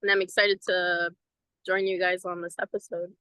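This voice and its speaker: A woman's voice: on the low side, flat delivery, normal speed, sharp tone, no room sound, rough recording. A woman in her twenties from Venezuela, a guest chatting casually in an interview, often saying um and like. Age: 20s. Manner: chatty, friendly, many ums and likes. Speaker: guest, ministry program member. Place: outdoors in front of a cathedral.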